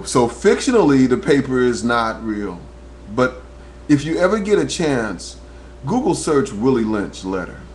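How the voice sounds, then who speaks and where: A man from New Jersey talks straight into his webcam with the earnest, preacher-like drive of a talk radio host. His voice sits at a middle pitch and climbs as he warms to his own story. A faint electrical hum runs underneath the recording. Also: poor audio. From New Jersey, USA. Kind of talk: webcam monologue. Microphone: webcam microphone.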